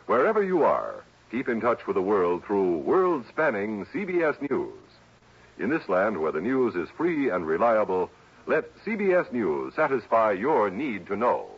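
A man with an American accent reading a scripted vintage radio promo, polished and emphatic, with a slightly husky voice. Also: fairly low pitch, sing-song, measured pace, slight background noise. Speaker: radio network announcer. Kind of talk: scripted mid-century radio promo announcing. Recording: dull vintage radio recording.

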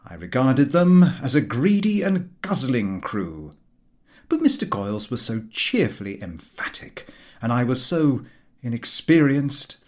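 A man reading a comic novel aloud as a narrator, expressive and drily humorous. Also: mid-range voice. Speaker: audiobook narrator.

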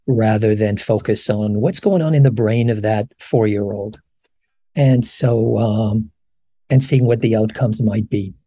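A man's voice: mid-range voice, warm tone, husky, dry recording. A man in his sixties from Morocco, a professor answering interview questions thoughtfully, with frequent ums and restarts. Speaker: professor, podcast guest. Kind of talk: long-form interview answers.

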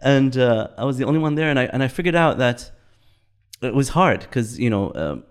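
A man in his fifties from Bahrain talks things over in an easygoing podcast chat. His pitch sits in an ordinary middle range. He thinks aloud, doubling back on words and laughing easily as he goes. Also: lively intonation, fairly quick, smooth. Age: 50s. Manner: reflective, repeats and restarts words, laughs easily.

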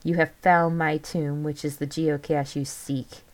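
A woman's voice: low-pitched, level tone, unhurried, a little mellow. A woman voicing a menacing spirit of a crypt in a theatrical, commanding way for a spooky story. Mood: menacing, gloating. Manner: theatrical, commanding, archaic speech.

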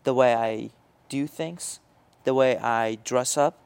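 A young man from Syria whose first language is Arabic, co-hosting a casual podcast chat in a halting way, full of "like" and restarts. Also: mid-range voice, vocal fry, a little mellow, clean tone. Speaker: podcast co-host.

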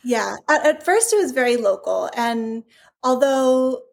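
A woman's voice: on the high side, animated, unhurried, creaky. A woman from California who grew up in Hawaii, talking casually and at length as an interview guest, with many fillers and restarts. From California, raised in Hawaii. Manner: chatty, rambling, frequent restarts and fillers. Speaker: toy company founder, guest.

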